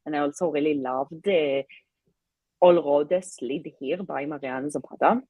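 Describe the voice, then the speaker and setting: A woman's voice: sits low, measured pace, warm tone, breathy edge, no room sound. A woman who speaks English as a second language, chatting casually on a book livestream and often searching for her words. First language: not English. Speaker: co-host of a livestreamed reading sprint. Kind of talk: casual unscripted livestream chat about books.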